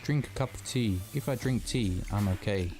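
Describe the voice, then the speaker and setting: Man, pro gamer, interview voiceover, low voice, music bed. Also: normal speed, warm tone. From England. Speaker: pro esports player. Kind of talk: documentary-style interview voiceover.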